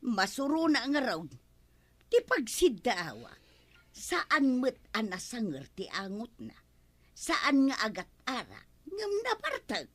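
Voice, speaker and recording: An actress with an Ilocano Filipino accent playing a nagging, suspicious village wife in a radio comedy drama. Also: female voice, fairly high pitch, wildly swooping pitch, takes it slowly, exaggerated voice. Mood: puzzled, suspicious.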